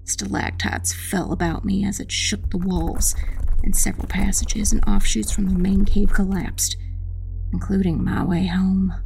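A woman playing a determined witch who narrates a dark fantasy audiobook in an intense, dramatic, breathy voice with heavy creak. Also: mid-range voice. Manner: intense, hushed, dramatic first-person narration. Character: determined young witch telling her own battle.

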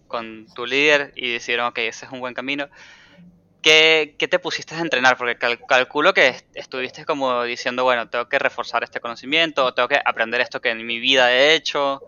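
A man's voice: medium pitch, a little fast, pushed and bright, smooth, no echo. A man interviewing in a casual, informal way, often acting out quoted remarks as he talks. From Latin America, outside Argentina. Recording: dry but slightly dull, band-limited sound.

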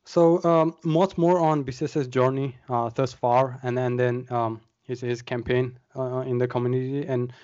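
A man's voice: mid-range voice, level tone, measured pace, hardly any pauses, darkish tone, no room sound. A man hosting a casual podcast interview, leading the questions with lots of "uh" and "um". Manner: friendly, steering questions with fillers. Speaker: podcast host. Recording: dry, dull lo-fi sound.

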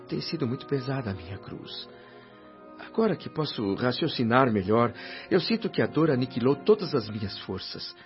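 An actor playing a grieving, self-pitying middle-aged doctor in a radio drama, lamenting and pleading. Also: male voice, mid-range voice, close to an everyday voice. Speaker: André Luís. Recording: dull radio drama audio with faint music.

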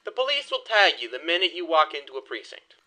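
Adrian, as an actor plays him, menacing gangster. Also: male voice, sing-song, bright, forceful tone, full character voice. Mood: cool threat. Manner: low, measured, dryly menacing. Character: menacing 1920s gangster man, cool, threatening. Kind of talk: audio drama acting.

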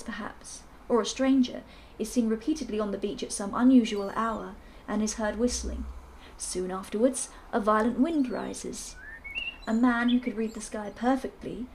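A woman's voice: on the high side, slight background noise, close to an everyday voice, poor audio. A woman voicing a prim, fussy male professor who is a firm skeptic. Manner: precise, prim, slightly pompous.

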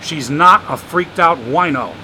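A man voicing an irritable, short-tempered mansion owner who talks in a gruff, exasperated way. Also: a little high, unhurried, background noise, close to an everyday voice.

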